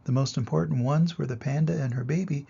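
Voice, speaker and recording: A wise old turtle from a storybook, voiced by a man reading aloud. He explains things patiently in a husky, creaky voice. The sound is slightly muffled. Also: mid-range voice, fairly quick, dark tone.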